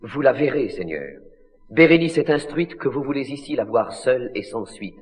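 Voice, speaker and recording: A man's voice: medium pitch, expressive, normal speed, close to an everyday voice. An actor playing a king's loyal, deferential confidant in classical tragedy, declaiming formal verse earnestly. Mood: respectful, eager. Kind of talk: classical tragedy stage acting. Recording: long reverb of a large hall.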